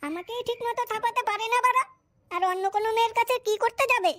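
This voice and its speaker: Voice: very high voice, quick pace, pushed and bright. A performer playing a coy, lusty, complaining young married woman in a comic cartoon, with crude whining delivery. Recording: clearly noisy recording.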